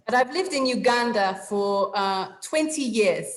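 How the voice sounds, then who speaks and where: A woman's voice: on the high side, lively intonation, normal speed, slight background noise. English woman facilitating an online meeting. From England. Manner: polite, organised, instructing. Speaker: webinar facilitator. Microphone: video call.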